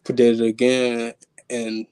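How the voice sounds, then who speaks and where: Flat-voiced man in his twenties, swearing casually. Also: medium pitch, slow pace, smooth, no room sound. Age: 20s. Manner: rambling, swears a lot, stumbles over words. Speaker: podcast co-host. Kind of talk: casual chat.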